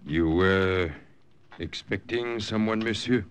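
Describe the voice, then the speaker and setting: An actor playing a wary, formal French Resistance farmer with a French accent in an old-time radio drama. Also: male voice, deep voice, takes it slowly, a little hiss or hum. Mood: guarded. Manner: formal, pointed, suspicious. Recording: vintage radio drama recording.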